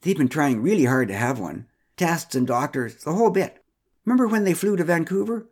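A man voicing a patient wife who answers calmly and dryly. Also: deep voice, brisk, pitched above the performer's own voice. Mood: explaining.

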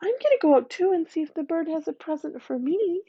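Small girl, bright and breathless, very high; woman reader voices her. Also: brisk, no echo, full character voice. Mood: excited. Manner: breathless, excitable. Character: little girl, sad then cheerful. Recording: dull, muffled recording.

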